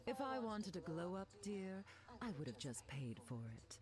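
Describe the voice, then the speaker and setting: A woman plays a vain glamorous mutant from a video game. The delivery is animated, haughty and sassy, with music behind the voice. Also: mid-range voice, normal speed, close to an everyday voice. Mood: haughty, sarcastic. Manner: condescending, sassy.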